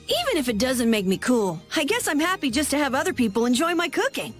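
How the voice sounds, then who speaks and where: A woman voicing an eager, earnest young boy adventurer in a video game, with an excitable manner. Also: high voice, fast, soft background music. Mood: indignant, cheery. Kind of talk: English video game voice acting.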